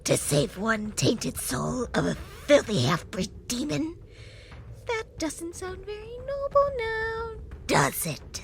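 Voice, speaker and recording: Super high sneery creature voice, mocking, swoopy, slow fantasy drama delivery. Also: female voice, sing-song, creaky, background noise, heavily acted. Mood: scornful. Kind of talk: fantasy audio roleplay acting.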